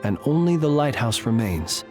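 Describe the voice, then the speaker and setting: Game guardian: man playing a polite, formal keeper of the tombs, unhurried and precise, faint music underneath. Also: medium pitch, big pitch swings, darkish tone, close to an everyday voice. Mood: calm. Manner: formal, measured, old-fashioned phrasing. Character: courtly male graveyard guardian, calm and formal. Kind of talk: video game dialogue.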